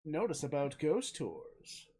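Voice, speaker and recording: A woman's voice: sits low, big pitch swings, takes it slowly, warm tone, husky. A woman co-player on a game stream, working through a puzzle in casual chat and spelling out letters one at a time.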